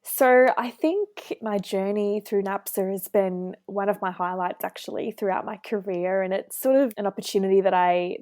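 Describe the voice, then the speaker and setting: A woman's voice: mid-range voice, a little mellow, dry recording, studio quality. A woman in her twenties from New Zealand, a pharmacist guest on a podcast, telling her story in a friendly way with some filler words. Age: 20s. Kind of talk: podcast interview.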